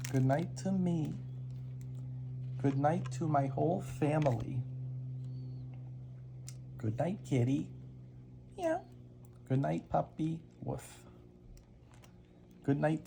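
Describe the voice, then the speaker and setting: Bedtime storyteller, parent type, unhurried and gravelly, rhythmic rhymes, slightly noisy amateur home recording. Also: medium pitch. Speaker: parent reading bedtime stories. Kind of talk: reading picture books aloud to a toddler.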